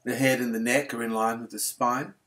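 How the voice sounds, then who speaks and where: A man with a medium-pitched voice leads a guided relaxation as a yoga instructor. He is calm and unhurried, offering each instruction gently and soothingly.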